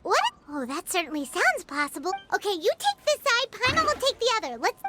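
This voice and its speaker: A woman voicing an excitable, timid little fairy companion in a video game who talks about herself in the third person. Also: very high voice, brisk, heavy background noise. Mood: chirpy. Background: game music.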